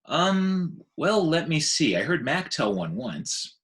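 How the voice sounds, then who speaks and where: A man voicing a patient, weary adult male gorilla in a children's book, speaking in a measured way. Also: a little high, big pitch swings, a bit bright, pitched above the performer's own voice, heavily acted. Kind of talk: children's book read aloud.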